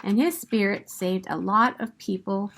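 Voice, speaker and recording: A woman reading a children's picture book aloud in an expressive, emphatic storytelling manner. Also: medium pitch, a little slow, gravelly, slightly rough.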